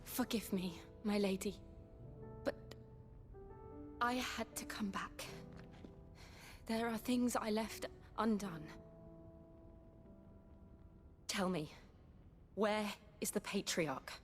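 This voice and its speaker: Brief: actress as a slow, soft-spoken young woman, earnest and resolute, game scene. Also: mid-range voice, soft background music, close to an everyday voice. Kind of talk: video game cutscene acting.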